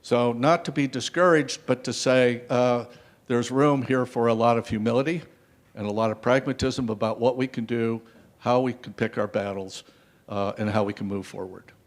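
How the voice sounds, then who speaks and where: An older man speaks at a conference panel through a handheld microphone. His delivery is a wry, lecturing one, full of historical asides and filled pauses. His pitch sits in a middle range for a man. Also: fairly even intonation. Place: conference stage, seated. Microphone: handheld mic.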